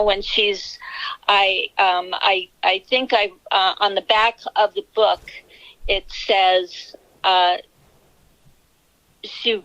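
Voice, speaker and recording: A woman in her sixties with a New York City accent, a novelist answering interview questions in a measured, candid way. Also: mid-range voice, fairly bright tone, dry recording, poor audio. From Bronx, New York City. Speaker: novelist guest.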